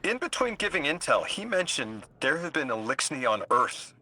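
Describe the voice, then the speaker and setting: A man's voice: on the high side, very animated, moderate pace, pushed and bright, full character voice. An actor playing a cocky, driven young male intelligence operative in a video game, urgent and confident. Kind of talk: video game dialogue acting.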